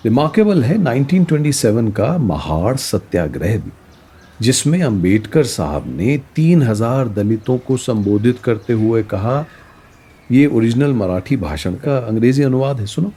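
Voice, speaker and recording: An actor playing a learned teacher who explains history in a didactic, rhetorical way, mixing in English words, in an educational radio play. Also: male voice, medium pitch, animated, unhurried, dark tone, breathy edge. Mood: explanatory, keen.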